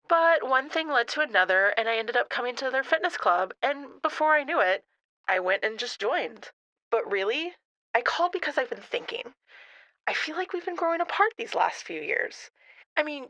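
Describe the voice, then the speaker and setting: A woman's voice: a little high, bright, forceful tone, no room sound, poor audio. A woman playing a chatty, self-assured suburban mom and sister in an audio drama, breezy and persuasive.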